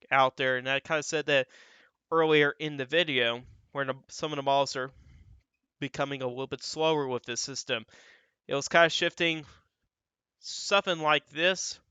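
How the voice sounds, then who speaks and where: A man's voice: a little high, pushed and bright, clean tone, no room sound. A young man who sounds a little stuffy and dry in the throat, talking casually through severe weather forecast models as the host of his own weather channel. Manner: loose and conversational, repeats filler phrases.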